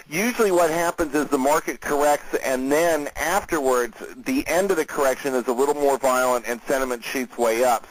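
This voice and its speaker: Male market analyst hosting a call; mid-pitched, casual and steady, dry recording. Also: dry recording, poor audio. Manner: conversational, steady, with fillers.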